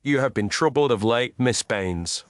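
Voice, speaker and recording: A man voicing a coolly commanding gentleman detective in an audio story, formal, clipped and incisive. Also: medium pitch, animated, unhurried, no echo, lightly acted.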